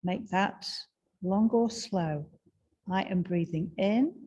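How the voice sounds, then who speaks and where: A woman guiding a breathing meditation in a slow, soft and soothing way, with long pauses. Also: mid-range voice, lo-fi sound, a little hiss or hum. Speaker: meditation guide.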